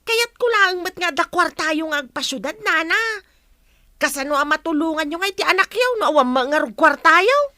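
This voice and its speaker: An excitable, pleading village woman in a radio play. Her Ilocano-accented voice is extremely high and piercing, recorded close and dry. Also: moderate pace, dry recording, full character voice. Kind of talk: radio comedy-drama acting.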